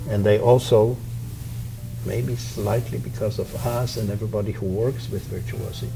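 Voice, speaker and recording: A man's voice: on the low side, gravelly, low-quality recording. Polish-accented man with music behind. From Poland. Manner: measured, reflective, with hesitations. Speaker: violin professor and orchestra co-founder. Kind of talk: interview answers.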